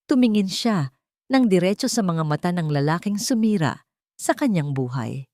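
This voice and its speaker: Low-pitched woman narrating a dramatic story. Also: expressive, normal speed, mellow, no room sound, clean studio sound.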